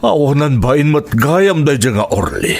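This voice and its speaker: A man's voice: fairly high pitch, very animated, a little slow, vocal fry, darkish tone, exaggerated voice. An actor playing a troubled, protective older father with an Ilocano accent in a radio drama. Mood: worried, indignant.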